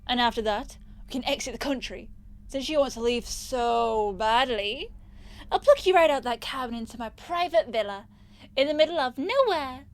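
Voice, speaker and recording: A woman playing a possessive, smug rich young captor in an ASMR roleplay, teasing and condescending with a sweet menace. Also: high voice. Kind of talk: ASMR roleplay audio drama.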